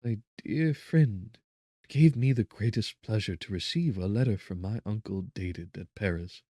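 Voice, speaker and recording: A man voicing a gentle, anxious young woman reading out her tender, earnest letter in an audiobook. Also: mid-range voice, no echo, clean studio sound, voice pushed up, close to an everyday voice.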